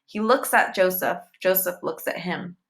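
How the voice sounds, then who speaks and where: A woman reading a children's novel aloud in deliberate, simple phrases, as if telling it to young listeners. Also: fairly low pitch, a bit bright. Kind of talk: read-aloud storytelling with short asides to listeners.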